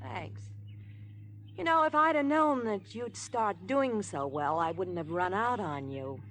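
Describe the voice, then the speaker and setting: Casting: actress as scheming estranged wife, mid-pitched, mocking and coquettish, vintage radio melodrama. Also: female voice, lively intonation, a little slow, slight background noise, natural voice. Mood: smug. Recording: vintage 1950s radio broadcast.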